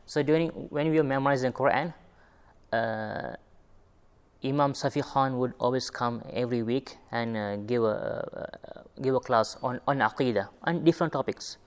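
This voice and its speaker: A young man who grew up in Sri Lanka, telling his own story in an interview in an unhurried way, often searching for his words. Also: mid-range voice, clear, resonant tone. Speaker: former student interviewee. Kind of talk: reflective personal interview.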